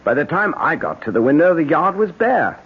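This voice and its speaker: Concerned, firm husband: animated, rough-edged voice, emphatic old-time radio delivery. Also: male voice, fairly high pitch, a little fast, exaggerated voice. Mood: concerned, civil. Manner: urgent, emphatic, repeating pleas. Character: worried husband, firm and soothing with his wife. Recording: narrow-band old radio broadcast.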